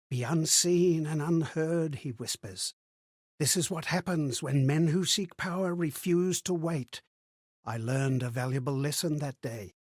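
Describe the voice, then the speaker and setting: Husky, haunted man in a fantasy game. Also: on the high side, no echo, studio quality. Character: anxious, amnesiac male wanderer reliving strangers' memories. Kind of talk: theatrical video game character monologue.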